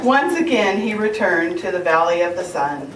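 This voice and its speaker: A woman's voice: fairly low pitch, expressive, normal speed. A woman reading humorous rhyming verse aloud to a family gathering. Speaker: family member reading a tribute poem. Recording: echoey, distant, very noisy recording.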